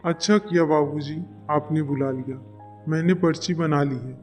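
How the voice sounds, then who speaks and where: A man's voice: on the high side, unhurried, a little mellow, recorded from a distance, soft background music, close to an everyday voice. A man voicing an adult son in a family cartoon story. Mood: matter-of-fact. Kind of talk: Hindi family cartoon dialogue.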